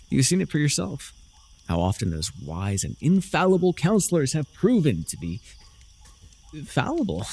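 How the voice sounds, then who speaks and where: Fantasy roleplay villain: proud, menacing warlord, male performer. Raspy, very expressive, sardonic and persuasive, theatrical delivery aimed right at the listener. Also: medium pitch, heavily acted. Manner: theatrical, sardonic, swinging from soft coaxing to forceful declamation. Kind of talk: immersive fantasy audio roleplay, addressed to the listener.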